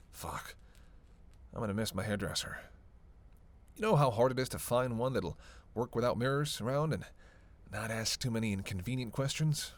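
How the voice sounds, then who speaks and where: A man's voice: medium pitch, close to an everyday voice. A man playing a flirty, sardonic vampire in a roleplay audio drama, with casual, teasing, profanity-laced banter. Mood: grumbling. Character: flirty, sardonic male vampire on a road trip.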